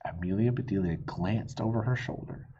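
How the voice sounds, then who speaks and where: A man reading a children's story aloud in a playful, expressive way, with a husky voice. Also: on the low side, moderate pace, highs cut off, a little hiss or hum. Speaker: storybook reader.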